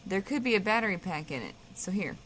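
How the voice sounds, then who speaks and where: An actress playing a blunt, teasing, bossy guest co-host who swears freely in a comedic audio drama. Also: female voice, medium pitch, very animated, quick pace, over loud music, natural voice.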